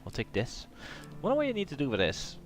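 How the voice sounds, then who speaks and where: A man's voice: mid-range voice, huge pitch swings, fast talker, soft background music. A man with a cold and a nasal voice, hosting a casual gameplay commentary and thinking aloud with plenty of hesitation. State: has a cold. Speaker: let's play host.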